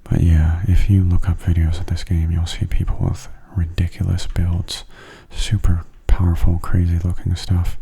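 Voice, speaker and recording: Very soft, breathy low-voiced man. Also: monotone, moderate pace, hardly any pauses. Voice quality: soft, breathy, half-whispered. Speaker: video game streamer. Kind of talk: soft-spoken ASMR gameplay commentary.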